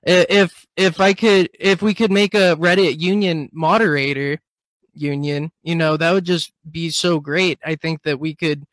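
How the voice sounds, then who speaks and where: A man's voice: a little high, steady tone, normal speed, a bit bright, dry recording. A man speaking earnestly in a casual group voice chat, rallying others to organize. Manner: earnest, rallying, calls for a union. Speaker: Reddit moderator in a group voice chat.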